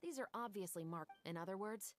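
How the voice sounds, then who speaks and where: A woman playing a composed, serious young patrol captain, speaking in a measured, formal way in a game. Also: mid-range voice, animated, loud music behind the voice, close to an everyday voice. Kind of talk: game voice acting. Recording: game audio with background noise.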